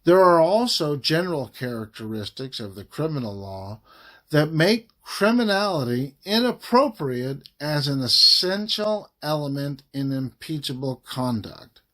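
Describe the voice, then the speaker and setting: A man reading a formal legal document aloud in a measured, emphatic way, pausing phrase by phrase. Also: fairly high pitch, big pitch swings, fairly bright tone. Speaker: reader of a government report.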